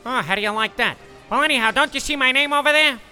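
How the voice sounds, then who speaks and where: A man voicing a flustered American tourist from New York with a New York accent, whiny and quick to apologize. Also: very high-pitched, sharp tone, faint music behind, full character voice. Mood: exasperated. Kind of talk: comedy audio sketch.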